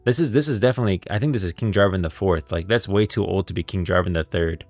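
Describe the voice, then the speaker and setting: A man's voice: low voice, fast talker, dry recording. A man hosting a livestream with casual lore commentary, rambling emphatically and repeating his points. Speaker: livestream host. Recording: music playing behind. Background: background music.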